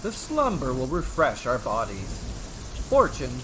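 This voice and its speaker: A man's voice: mid-range voice, wildly swooping pitch, unhurried, background noise. A man voicing a brave, carefree brother in a storybook tale, hearty, confident and declamatory. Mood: boisterous reassurance. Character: brave, carefree, boisterous brother, ever-optimistic sailor. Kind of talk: dramatic storybook character acting.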